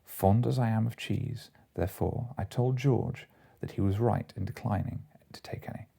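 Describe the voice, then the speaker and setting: A man narrates classic fiction in the manner of an audiobook. His voice is low and very soft-edged and dark, with a husky grain. He reads a comic story from a script. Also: normal speed.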